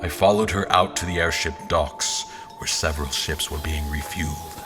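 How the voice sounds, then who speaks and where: A man playing a guarded royal officer in disguise, recounting his memories in formal, deliberate audio drama narration. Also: extremely low pitch, fairly even intonation. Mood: reflective, intrigued. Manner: formal, literary, deliberate phrasing. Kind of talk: scripted first-person audio drama narration. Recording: music behind the voice.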